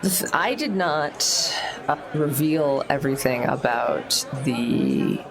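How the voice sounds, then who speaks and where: Gravelly guy thinking out loud. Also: a little high, animated, takes it slowly, music in the background. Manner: laughs often, thinks aloud. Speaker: player. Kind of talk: casual tabletop play.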